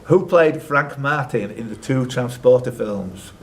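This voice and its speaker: A man's voice: medium pitch, animated, a little slow, slight background noise. Chatty male quizmaster reading out questions. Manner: chatty, off-the-cuff asides between questions. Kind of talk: live pub-quiz hosting, reading out questions. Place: live quiz event at a social club.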